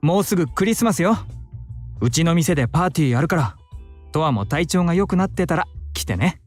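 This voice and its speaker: A male actor plays a motherly, effeminate visual novel friend who scolds and fusses. The speech races along with lively pitch, over faint game music. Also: very fast. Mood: chatty. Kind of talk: Japanese visual novel acting.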